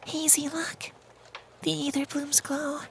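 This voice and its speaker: A performer as a high-pitched, hushed femboy vampire. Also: level tone, slow, a little hiss or hum, exaggerated voice. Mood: wondering. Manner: hushed, breathy near-whisper, lingering delivery. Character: gentle, sweet, centuries-old femboy vampire. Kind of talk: ASMR fantasy roleplay.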